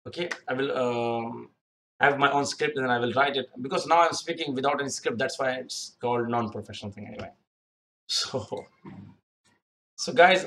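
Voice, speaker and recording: A man's voice: mid-range voice, fairly bright tone. Rambling young man giving interview tips. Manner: rambling, repeats himself, many fillers. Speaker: youtube career advice host. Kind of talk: unscripted screen-share tutorial. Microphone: webcam in corner of screen recording.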